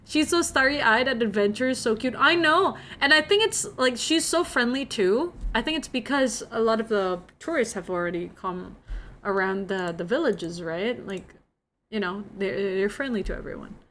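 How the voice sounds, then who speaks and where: A woman's voice: a little high, lively intonation, normal speed, fairly bright tone. Young Indonesian woman, non-native English, casual chatty streamer, giggly storyteller, faint noise bed. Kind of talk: casual chat with viewers.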